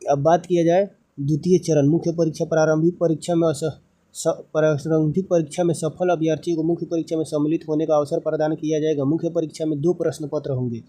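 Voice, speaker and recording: A man with a fairly high, mellow voice reads formal text aloud as an explainer. His intonation stays very flat. He moves haltingly, often going back over a phrase and restating it in simpler, informal words. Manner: halting, repetitive reading that re-explains points. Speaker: presenter explaining a recruitment notice.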